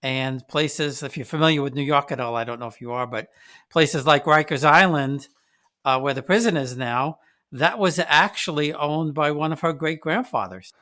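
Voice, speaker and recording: A man's voice: fairly high pitch, moderate pace, bright, forceful tone. A man hosting an interview, asking questions in a rambling, conversational way with many restarts. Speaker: podcast host.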